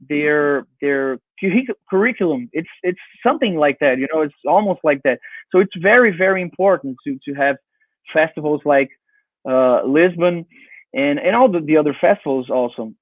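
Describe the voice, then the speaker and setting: High-voiced Brazilian man on a phone-like line. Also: dry recording. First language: Portuguese. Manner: halting, repeats words. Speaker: interview guest, advertising creative and festival jury member. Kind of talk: conversational interview answers. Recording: narrow tinny phone-like line.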